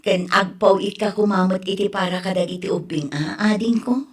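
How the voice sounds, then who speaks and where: A woman's voice: mid-range voice, normal speed, slightly rough, close to an everyday voice. A woman with an Ilocano accent acting a concerned family member in an audio drama. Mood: gently pleading.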